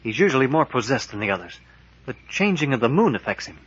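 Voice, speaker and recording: An actor playing a casual, matter-of-fact adventurer in a 1940s radio serial, with a clipped delivery. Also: male voice, medium pitch, big pitch swings, fast, a bit bright, a little hiss or hum. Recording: muffled vintage 1940s radio recording.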